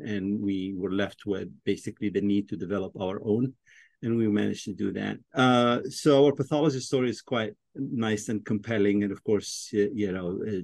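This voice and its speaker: Low-voiced man, thoughtful, many fillers. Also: darkish tone, no echo. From Egypt. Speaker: podcast guest, pathologist. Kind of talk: casual interview talk.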